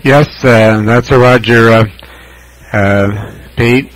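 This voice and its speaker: An American man chatting casually as a ham radio operator over shortwave, measured and hesitant, pausing often as he describes things. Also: medium pitch, fairly even intonation, poor audio.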